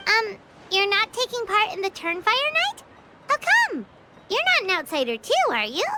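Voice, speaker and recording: A woman voicing a small, cheerful, bossy fairy-like companion girl with a squeaky childlike voice in a video game. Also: huge pitch swings, moderate pace, heavy background noise, exaggerated voice. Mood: curious. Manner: exclamatory, refers to self in third person. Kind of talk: English video game voice acting. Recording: loud music behind the voice. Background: loud game music.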